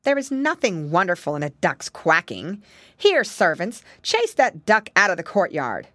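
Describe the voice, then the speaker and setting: A woman voicing a cunning, wicked witch queen who mutters low to herself and snaps orders at the servants. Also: very animated, moderate pace, fairly bright tone, no room sound. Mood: haughty. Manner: low muttering, falsely sweet.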